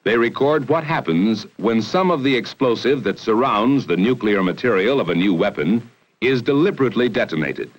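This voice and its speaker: An American man narrating a 1960 educational film in a formal, measured, authoritative way, on a vintage film soundtrack. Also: fairly low pitch, expressive, low-quality recording. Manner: formal, measured, authoritative delivery with emphatic phrasing.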